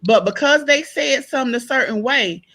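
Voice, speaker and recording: A woman with a loud speaking voice, talking animatedly in a casual group discussion, acting out the exchanges she retells. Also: sits high, normal speed. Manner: animated storyteller, acts out quoted exchanges.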